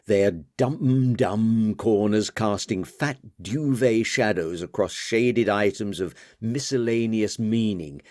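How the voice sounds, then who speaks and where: A man reading literary fiction as an audiobook narrator, with a measured, deliberate delivery. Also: lowish voice, a little mellow, no echo, studio quality.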